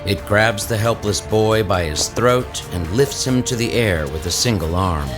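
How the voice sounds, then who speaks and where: A man's voice: on the low side, unhurried, lightly acted. A man reading dramatic scripted narration for an audio story, with music behind him.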